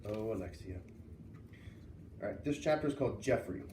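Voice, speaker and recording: A man's voice: lowish voice, animated, normal speed, darkish tone. A man speaking as a teacher, introducing and commenting on a children's book he reads aloud. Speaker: teacher reading aloud to students. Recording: roomy, distant mic, faint noise.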